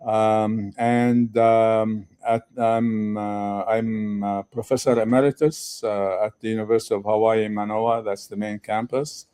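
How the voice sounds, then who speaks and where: An older man from Lebanon, a retired professor speaking as a conference guest, talking slowly with many halting fillers. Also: on the low side, little pitch movement, dark tone, clean tone. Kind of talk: conference interview.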